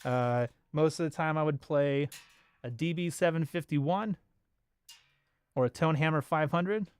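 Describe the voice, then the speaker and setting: A man's voice: fairly high pitch, measured pace, clean tone, soft background music. An American man from Los Angeles hosting a casual livestream, chatting informally with viewers in a rambling, joking way. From Los Angeles, United States. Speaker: bass player and livestream host.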